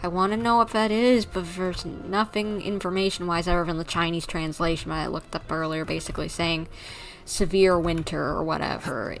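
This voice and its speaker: A woman with a fairly low voice gives casual livestream commentary on a game. She rambles informally, thinking out loud as she goes. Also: creaky.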